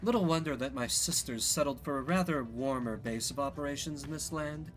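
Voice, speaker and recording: A man's voice: medium pitch, a little fast, a bit bright, faint music behind, natural voice. A man voicing a world-weary winged harpy woman in a fantasy game, with a wry, guarded, drawling delivery. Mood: wistful, uneasy.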